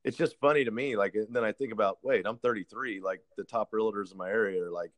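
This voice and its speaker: A man's voice: fairly low pitch, fast, fairly bright tone. Earnest man in his thirties giving advice. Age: 30s. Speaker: realtor. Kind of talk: casual podcast conversation.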